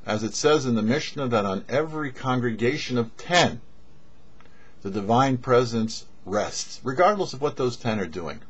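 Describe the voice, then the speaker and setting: Husky rabbi teaching, dull recording. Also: male voice, mid-range voice, animated. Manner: measured, explanatory, with deliberate pauses. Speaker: rabbi and show host. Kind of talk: short religious teaching to camera. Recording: dull, lo-fi webcam-style audio.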